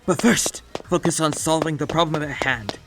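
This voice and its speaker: A man's voice: a little high, moderate pace, a bit bright, faint music behind. A man playing an arrogant, scheming villainous scientist in a comic dub, speaking in precise, wordy, pompous diction. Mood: self-critical, urgent. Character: arrogant, calculating villainous male doctor scientist, theatrical schemer.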